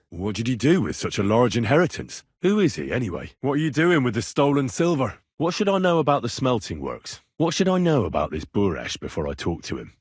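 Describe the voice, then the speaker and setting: A young medieval adventurer from a video game, speaks in a husky, mid-range voice. His intonation swings widely while he stays blunt, dogged and probing. The recording is dry and close, with no room sound. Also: medium pitch, no room sound. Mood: inquisitive, measured. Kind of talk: video game dialogue lines.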